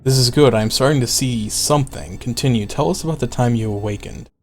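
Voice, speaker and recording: A man voicing an intent, brisk woman scholar in a fantasy story, with a precise, clinical manner. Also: medium pitch, soft background music, lightly acted. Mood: intrigued. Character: intent, brisk female scholar studying souls. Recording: clearly noisy background.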